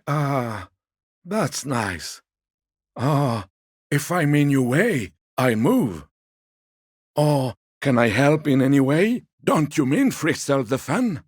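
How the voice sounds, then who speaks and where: An actor voicing a gentle, sociable elderly man in a video game, courteous and wistful, teasing softly and taking his time. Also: on the high side, husky, no echo, clean studio sound, heavily acted. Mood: wistful, grieving, then courteous, obliging, then wry, animated. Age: 70s or older. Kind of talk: video game character dialogue.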